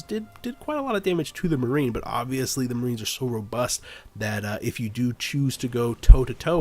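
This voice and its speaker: A man's voice: mid-range voice, darkish tone. A man with a stuffy, nasal voice from the flu, casually commentating a strategy game replay and rambling with frequent fillers. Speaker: game caster.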